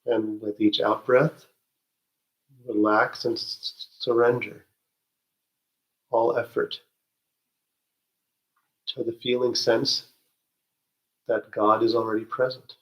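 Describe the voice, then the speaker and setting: A man leading a guided spiritual meditation, speaking gently with soft halting restarts and long pauses. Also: medium pitch, flat delivery, poor audio.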